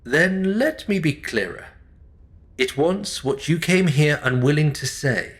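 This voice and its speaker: An actor playing a solemn, watchful manor caretaker in an audio drama, speaking in measured, ominous understatement. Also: male voice, fairly high pitch, animated. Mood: cryptic, calm. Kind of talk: supernatural comedy audio drama acting.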